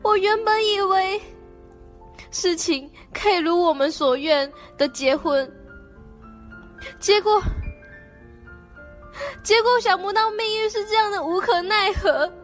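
A woman playing a lovelorn young ghost princess in an amateur radio drama, halting and plaintive, with a Taiwanese Mandarin accent. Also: very high-pitched. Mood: wistful, pleading. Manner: halting, plaintive, repeats words. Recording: background music under the voice.

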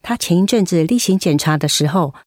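Very expressive, sympathetic woman. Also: fairly low pitch, brisk, dry recording, clean studio sound. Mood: concerned. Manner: expressive, caring. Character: sympathetic, attentive woman, an interviewer and fellow patient. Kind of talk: radio drama acting.

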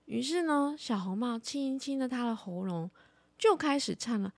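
A woman telling a story for children as a podcast host, in an animated storytelling style. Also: a little high, moderate pace, no room sound.

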